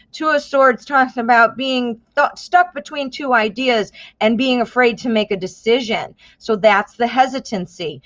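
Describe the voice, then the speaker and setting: A woman's voice: medium pitch, lo-fi sound. An American woman hosting a live tarot reading stream, chatting with viewers, calling them honey and my love, and explaining the cards. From United States. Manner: chatty, warm endearments, reads viewer names aloud; exclaims and laughs at cards.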